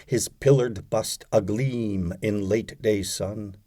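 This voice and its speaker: A man recites poetry in a mellow, slightly low voice. His delivery is slow and deliberate, broken by frequent pauses, with dramatic phrasing. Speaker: audiobook reader of poetry.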